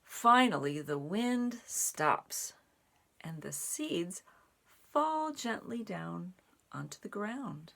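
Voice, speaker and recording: A woman reading a children's picture book aloud, phrasing it deliberately in short pieces with animated emphasis. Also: on the low side, creaky, a little hiss or hum. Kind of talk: expressive read-aloud for young children.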